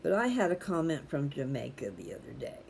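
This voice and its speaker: Mellow, folksy rambler with background noise. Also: mid-range voice, very animated, a little fast, vocal fry. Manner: chatty, folksy, digressive. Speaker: host reading scripture aloud for viewers. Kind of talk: casual rambling personal talk to viewers.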